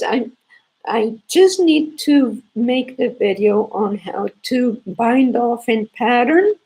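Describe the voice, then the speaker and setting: Knitting teacher, woman, hoarse from a cold; gravelly, husky, unhurried, repeats points. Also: fairly high pitch, dark tone, poor audio. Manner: slow, repetitive explaining with restarts. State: sore throat, congested, coughing. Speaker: knitting teacher hosting a live stream. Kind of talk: casual live knitting lesson.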